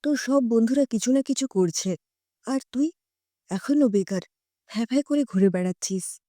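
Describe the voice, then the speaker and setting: A woman's voice: on the high side, expressive, moderate pace, mellow, dry recording, clean studio sound, close to an everyday voice. A woman voicing a bitter, disappointed father who taunts his grown son in an audio story. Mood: bitter reproach.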